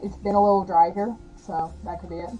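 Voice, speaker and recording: A slightly hoarse woman talks to her camera in an easy, rambling vlog manner, full of fillers and little laughs. Her tone is mellow and darkish, with a gravelly edge. Faint music plays underneath. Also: mid-range voice, fairly even intonation, a little fast, low-quality recording. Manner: chatty, rambling, frequent fillers and soft laughs. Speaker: witchcraft vlogger. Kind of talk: casual talk to camera.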